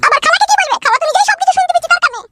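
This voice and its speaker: Lady doing a very high bossy cartoon auntie, lectures fast, lively. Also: very noisy. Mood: indignant. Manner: lecturing, indignant. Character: protective meddling aunt, older woman. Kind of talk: cartoon comedy dubbing.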